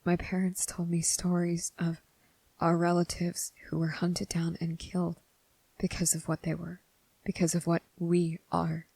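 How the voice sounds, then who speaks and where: Creaky, breathy young male vampire, acted by a man, anguished. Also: fairly high pitch, monotone, dry recording, heavily acted. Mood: anguished, fearful. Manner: hesitant, halting, breathy, hushed. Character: anguished gentle young vampire man confessing secret love. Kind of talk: ASMR roleplay drama.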